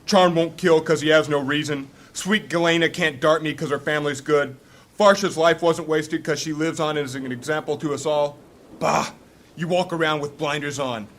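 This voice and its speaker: A man's voice: on the high side, steady tone, moderate pace, a bit bright, close to an everyday voice. Man acting a bitter, hot-tempered fantasy warrior. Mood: angry, bitter. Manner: scornful, argumentative. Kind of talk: dramatic fantasy audio drama acting.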